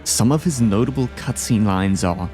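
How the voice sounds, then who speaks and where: A man's voice: on the low side, animated, normal speed, darkish tone. A man giving enthusiastic, scripted video essay commentary, ranking game bosses. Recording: game music behind the voice. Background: music.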